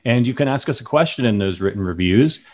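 A man's voice: medium pitch, animated, fast talker, darkish tone. A man hosting a sports podcast in a casual, conversational way, steering the talk and reading listener mail. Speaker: podcast host. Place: lives in Chicago.

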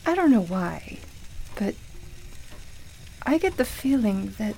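A woman playing a nostalgic, wonderstruck shop customer in a radio play, with a hesitant, halting delivery. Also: mid-range voice, big pitch swings, gravelly, warm tone, slight background noise, exaggerated voice, low-quality recording. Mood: hesitant, curious.

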